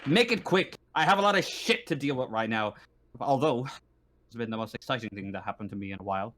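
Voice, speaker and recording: Man as stern space marshal, tabletop roleplay, impatient, exasperated, sweary, halting. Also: on the low side, lightly acted. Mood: curt, impatient. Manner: sweary, exasperated, stumbling over words. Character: stern, harried male space-station marshal, gruff and impatient.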